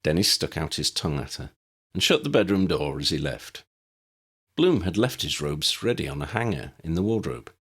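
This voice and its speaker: A man's voice: rumbling low, very animated, moderate pace, breathy edge. A man reading scripted story narration as an audiobook narrator.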